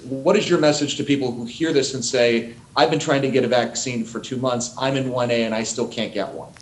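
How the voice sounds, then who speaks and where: A man's voice: medium pitch, steady tone, a little fast, reverberant, rough recording. An American man, a reporter, politely asking questions over a video call at a press conference. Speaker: TV news reporter.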